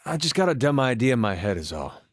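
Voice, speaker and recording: A man's voice: mid-range voice, big pitch swings, fast, dry recording, lightly acted. A man acting a video game role, an easygoing, wry farmer and war veteran with a folksy, laconic way of talking. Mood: wry, resigned. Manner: folksy, laconic, dry-humored drawl with dropped words. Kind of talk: video game character dialogue, conversational storytelling.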